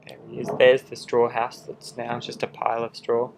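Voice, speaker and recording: A man reading a picture book aloud, with quiet, casual asides that point out the pictures. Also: medium pitch, completely flat delivery, moderate pace, creaky, a little hiss or hum, poor audio.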